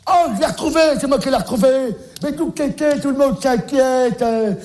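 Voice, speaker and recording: A man voices a forgetful grandpa phoning his daughter about the grandson he is minding, with a high voice. He rambles, loses his thread and repeats the same questions over and over, unbothered. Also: moderate pace, a little mellow. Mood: bumbling. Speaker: comic sketch character. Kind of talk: comic one-sided phone call sketch.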